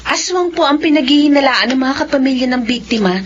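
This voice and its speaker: High-voiced earnest young Filipino woman. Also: animated, quick pace. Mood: sceptical, questioning. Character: earnest young village daughter, sceptical and polite. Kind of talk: Filipino radio horror drama acting. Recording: muffled, noisy radio drama audio.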